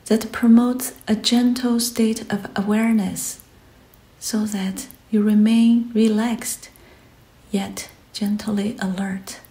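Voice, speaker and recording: A woman guides a meditation in a soft, breathy voice that often drops close to a whisper. Her tone is dark and relaxed at a medium pitch. She speaks very slowly and soothingly, leaving space between short, gentle phrases. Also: low-quality recording.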